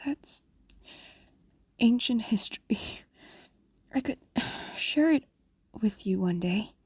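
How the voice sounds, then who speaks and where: Woman acting a whispering, very slow-spoken fox spirit. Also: a little high, expressive, a little mellow, dry recording, heavily acted. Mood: wistful, gentle. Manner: breathy, soothing, slow coaxing whisper. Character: possessive, doting ancient female fox spirit. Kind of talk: intimate fantasy audio roleplay, ASMR.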